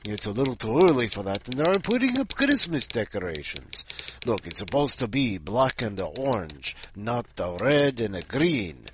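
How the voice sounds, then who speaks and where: A man's voice: medium pitch, sing-song, moderate pace, slight background noise, lightly acted. A man playing a comic three-hundred-year-old Count Dracula with a mock Eastern European accent, hesitant and digressive as he hosts. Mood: rambling, grumbling. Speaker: host of a weekly story challenge. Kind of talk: comic ad-libbed monologue.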